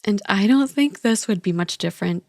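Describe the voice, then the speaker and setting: A woman acts out a young woman, the teasing older sister of a friend, in an ASMR roleplay. Her voice sits at a medium pitch and she talks in a casual, playful, reassuring way. The sound is dry and close, with no room echo. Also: animated, brisk.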